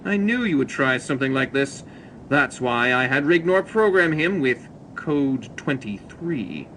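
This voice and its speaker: Grave Martian commander, mid-pitched. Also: male voice, expressive, fairly bright tone, a little hiss or hum, low-quality recording. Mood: stern. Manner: grave, measured, authoritative. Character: stern but principled, weary male Martian commander. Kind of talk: audio drama acting.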